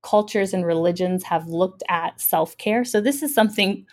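A woman's voice: mid-range voice, normal speed. A woman in her thirties, a professor answering interview questions thoughtfully, with many fillers.

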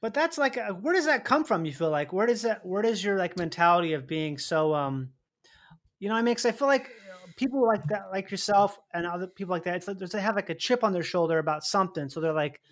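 A man, an actor, chatting in a relaxed way and musing through his questions. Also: sits high, fast talker. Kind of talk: casual chat.

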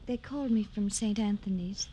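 A woman playing a soft-spoken, wistful young woman in mourning, subdued, in an old radio drama. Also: mid-range voice, moderate pace, a little hiss or hum, close to an everyday voice. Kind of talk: 1950s radio drama acting.

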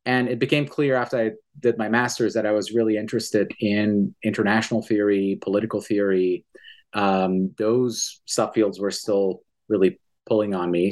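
Lowish-voiced scholarly man from Iran. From Iran, raised in Tehran until fifteen, then Canada. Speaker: academic guest, international studies professor. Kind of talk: explanatory interview talk.

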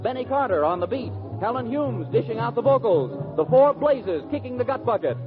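A man's voice: extremely high pitch, animated, moderate pace. Muffled old 40s radio, american guy announcer, big swooping theatrical intro in jive slang. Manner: theatrical, punchy build-up. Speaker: show announcer. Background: music.

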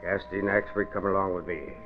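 Man playing bossy gruff cop in charge, low voice, barks orders, muffled old radio drama. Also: fairly even intonation, a little fast, close to an everyday voice. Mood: commanding. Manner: curt, barking orders. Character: gruff, bossy American police officer in charge. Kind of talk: 1940s radio drama acting. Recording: muffled vintage radio with hiss.

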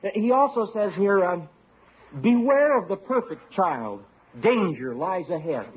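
Tinny vintage radio comedy, keen young husband, high lively voice, earnest, chirpy. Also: male voice, measured pace. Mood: earnest, enthusiastic. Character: eager, earnest young husband and new father. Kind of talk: 1940s-50s radio sitcom acting. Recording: tinny, muffled old radio recording.

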